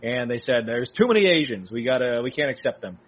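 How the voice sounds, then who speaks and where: A man's voice: mid-range voice, expressive, fairly quick. A man co-hosting a political talk show, laying out his argument steadily and point by point. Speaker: co-host. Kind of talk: political commentary chat. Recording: dull lo-fi sound.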